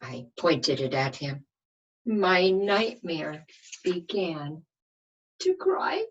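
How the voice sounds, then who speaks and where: A woman reading a picture book aloud to young children like a teacher, expressive, often asking the listeners questions. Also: fairly low pitch, very slow, dull audio. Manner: asks the listeners questions, dramatic emphasis.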